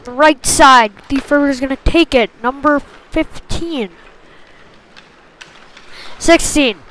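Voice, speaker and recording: Female hockey play-by-play caller, very high voice, slow pacing, clipped phrasing, excitable on big plays. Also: a little hiss or hum, rough recording. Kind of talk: live sports commentary.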